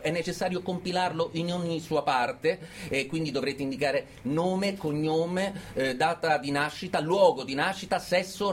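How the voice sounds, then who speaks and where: An actor playing a smug, mock-cheerful prison host, unctuous and sardonic, in satirical radio theatre. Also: male voice, a little high, slight background noise, close to an everyday voice. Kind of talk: satirical stage-style radio theatre monologue.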